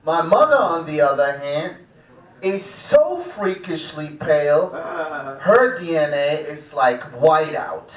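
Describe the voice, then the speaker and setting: A man's voice: a little high, animated, takes it slowly. A man from Germany, performing a live stand-up comedy routine. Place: small live comedy room at a cafe. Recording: echoey room, distant stage microphone.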